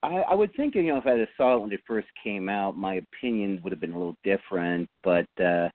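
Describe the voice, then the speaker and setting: Hesitant low-voiced man on a phone line. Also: fast, dry recording. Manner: hesitant, full of uhs and restarts. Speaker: panelist. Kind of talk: casual panel chat. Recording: dry tinny phone-line audio.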